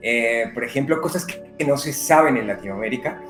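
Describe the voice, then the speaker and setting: Man from Colombia, medium-guest type, measured and pausing, echoey room. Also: mid-range voice, over music. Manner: unhurried, many "eh" pauses. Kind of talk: conversational interview. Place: living in Brazil.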